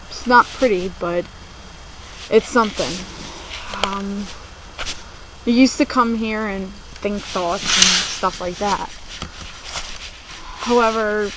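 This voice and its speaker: A young American woman around twenty talking casually and confessionally in a video blog, halting and subdued, recorded on a laptop. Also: medium pitch. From United States, born in New Jersey, moved to Virginia. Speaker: video blogger. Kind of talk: confessional casual vlog talk. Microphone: laptop microphone. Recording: noisy amateur laptop recording.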